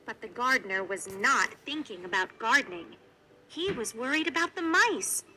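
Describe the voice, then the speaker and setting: A woman reading a children's read-along story in an expressive narrating style. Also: fairly high pitch, moderate pace, slight background noise, low-quality recording. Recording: music behind the voice.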